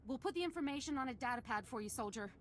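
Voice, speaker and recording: A woman's voice: sits high, expressive, very fast, slight background noise. A woman playing a wary, level-headed civilian survivor in a video game, reasoning and pleading. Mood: cautious relief. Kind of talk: scripted video game acting.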